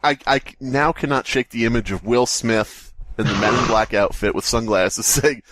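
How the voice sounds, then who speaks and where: A man's voice: medium pitch, normal speed, a bit bright, dry recording. A man co-hosting a podcast, chatting casually and reading a story aloud in a joking, sarcastic, sweary way. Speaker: podcast co-host. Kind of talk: casual comedic chat and mocking story read-aloud.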